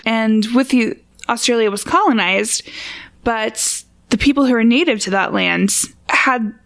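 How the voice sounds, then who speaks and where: A woman's voice: fairly high pitch, a little slow, creaky, fairly bright tone. A woman co-hosting a podcast in a casual, conversational style. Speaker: podcast co-host. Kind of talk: casual chat.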